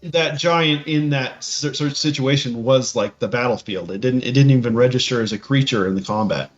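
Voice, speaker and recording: A man in casual conversation as a podcast co-host, halting and often repeating himself. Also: mid-range voice, muffled recording. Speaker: podcast co-host reacting to a video. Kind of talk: casual chat.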